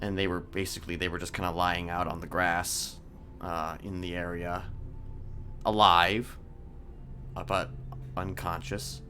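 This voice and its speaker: A man in a game roleplay voicing a calm, sinister criminal manipulator who speaks haltingly and deliberately in formal words. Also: sits low, a little hiss or hum. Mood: measured, confessional. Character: soft-spoken sinister male criminal manipulator, calmly confessing. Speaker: roleplay streamer. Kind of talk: improvised in-character game roleplay.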